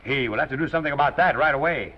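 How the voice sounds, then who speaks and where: A man's voice: a little high, very fast, lightly acted. A man playing a kindly but decisive studio boss, acted in 1930s Hollywood film style. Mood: brisk, pondering. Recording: dull vintage film soundtrack.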